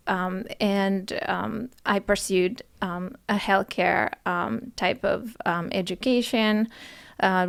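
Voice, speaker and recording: A woman, answering interview questions in a soft, hesitant way with many pauses and ums. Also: medium pitch, vocal fry. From Romania. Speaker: podcast guest, nurse. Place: studio.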